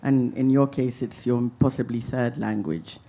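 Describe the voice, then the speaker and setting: A man moderating a festival Q&A, a playwright himself, speaking in a measured, gently wry way. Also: mid-range voice, fairly even intonation, creaky. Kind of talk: reflective panel talk.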